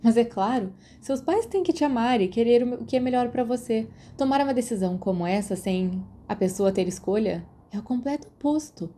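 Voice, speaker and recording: A woman's voice: fairly high pitch, animated, a little fast, hardly any pauses, mellow, slight background noise, lightly acted. A woman voicing an outspoken, clever, kind teenage witch girl in a story, with a frank, pointed way of talking. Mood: earnest.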